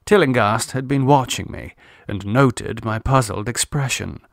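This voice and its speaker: A man narrating a classic horror tale as an audiobook reader, in a deliberate, suspenseful, dramatic manner. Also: medium pitch, gravelly, dry recording, studio quality.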